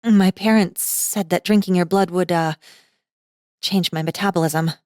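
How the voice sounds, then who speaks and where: A woman's voice: fairly low pitch, fairly even intonation, a little slow, darkish tone, no room sound, natural voice. A woman plays an awkward college girl in a fantasy audiobook. She talks in casual slang with a sarcastic edge and a hesitant streak, close and dry on the mic. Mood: nervous, wary. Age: young adult. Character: slangy, wary, awkward nineteen-year-old college girl. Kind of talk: dramatized fantasy audiobook acting.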